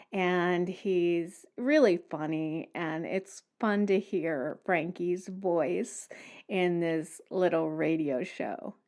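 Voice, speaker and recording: Slow-paced woman hosting a podcast. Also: mid-range voice, darkish tone. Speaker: podcast host. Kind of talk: solo podcast talk, explanatory and conversational.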